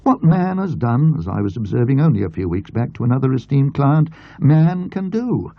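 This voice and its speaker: A man's voice: mid-range voice, sing-song, normal speed, gravelly, no room sound, muffled recording, voice pushed up. A man voicing a wordy, self-important, obsequious English tradesman in an audiobook story. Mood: fawning, earnest. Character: pompous, obsequious English tradesman with homespun grammar. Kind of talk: audiobook character voice.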